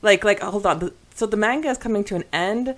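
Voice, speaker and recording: A woman co-hosting a podcast in casual fan discussion, animated and repeating words for emphasis. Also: medium pitch, fast. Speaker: podcast co-host.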